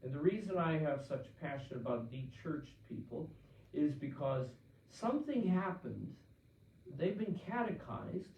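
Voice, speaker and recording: A man's voice: fairly high pitch, very animated, measured pace, highs cut off. Distant, roomy-sounding older male pastor. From Pennsylvania. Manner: warm, conversational, with frequent restarts and fillers. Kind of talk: Q&A talk answering listener letters.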